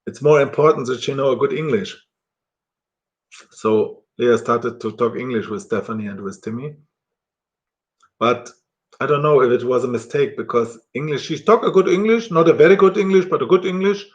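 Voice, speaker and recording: A middle-aged German man talking casually to camera in halting, self-correcting English with a German accent. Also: mid-range voice, lo-fi sound.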